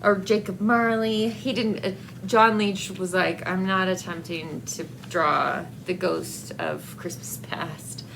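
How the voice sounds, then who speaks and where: A woman chatting casually about books as a vlogger, in a hesitant, rambling, halting way. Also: mid-range voice, fairly even intonation, vocal fry, noisy background. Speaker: book vlogger. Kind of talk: casual book review chat to camera.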